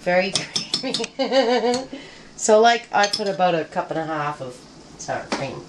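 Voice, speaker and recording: A woman's voice: mid-range voice, sing-song, a little slow, noisy background. A middle-aged woman walking through a recipe in her home kitchen, chatty and joking, with plenty of ums. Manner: chatty and joking, rambling with fillers. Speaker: home cook demonstrating a recipe. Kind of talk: casual cooking demo. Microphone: handheld camera mic nearby. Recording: home video with kitchen clatter. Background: pans and cutlery clatter.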